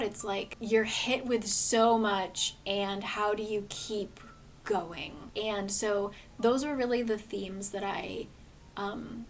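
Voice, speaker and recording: A woman with a somewhat hoarse voice, chatting earnestly about books in a casual vlog, full of rambling asides. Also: a little high, a little hiss or hum. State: hoarse, voice gone a bit. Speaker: book vlogger. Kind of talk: casual book review chat.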